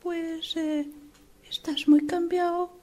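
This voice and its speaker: Una actriz de radioteatro que interpreta a una exgerente de mediana edad, humillada y desesperada por conseguir trabajo, con habla vacilante y deferente. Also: female voice, very high voice, little pitch movement, warm tone. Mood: stunned. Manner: hesitant, halting, deferential. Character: desperate middle-aged female job seeker, humbled former manager. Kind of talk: radio drama acting.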